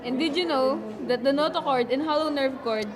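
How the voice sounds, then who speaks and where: A woman's voice: high voice, a little fast, a crowd in the background, lightly acted. A woman playing a nurse who teaches science in a scripted puppet show. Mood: explaining. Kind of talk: scripted educational puppet dialogue.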